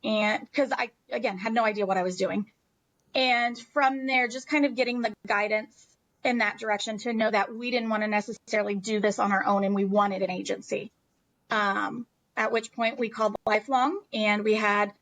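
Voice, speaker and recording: Woman, American accent, higher pitch, candid, emphatic, conversational storytelling. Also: fairly bright tone, poor audio. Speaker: podcast guest sharing personal story.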